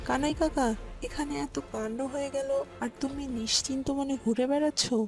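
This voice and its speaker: An excitable young village fellow, played by a woman, high in pitch with a lot of creak. He speaks urgently, in exclamations, as in a cartoon ghost story. Music sits clearly behind the voice. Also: normal speed. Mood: alarmed.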